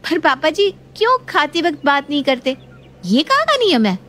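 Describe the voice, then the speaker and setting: Young daughter-in-law, high voice, naive chatterbox with a childlike streak, put on by a woman storyteller. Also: very animated, normal speed, sharp tone, full character voice. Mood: puzzled, protesting. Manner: questioning, talkative.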